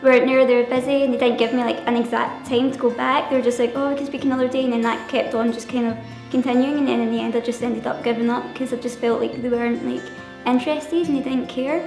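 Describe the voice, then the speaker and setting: Teen woman, rapid chatty interviewee, bright high voice, echoey room. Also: fairly even intonation, vocal fry. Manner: quick, chatty, many "like" fillers. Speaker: young volunteer. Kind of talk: interview talk. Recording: roomy sound over soft music.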